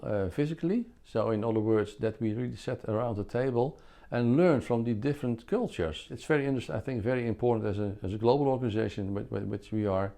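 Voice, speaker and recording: A man giving a testimonial, talking quickly and restarting his phrases. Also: mid-range voice, creaky, dark tone.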